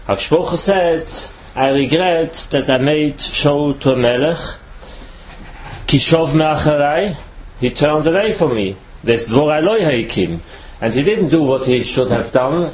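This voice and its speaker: A man from Switzerland delivers a Torah lecture in careful, halting English that is not his first language. His voice sits at a middle pitch. A faint background noise runs under the recording. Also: expressive, low-quality recording. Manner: halting non-native English, slow careful explanation. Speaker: rabbi.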